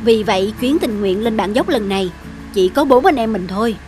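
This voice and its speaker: A woman's voice: mid-range voice, expressive, normal speed, music in the background, lightly acted. A woman voicing a friendly, responsible young male charity leader in a cartoon, with an instructive manner. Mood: explaining. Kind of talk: cartoon dubbing.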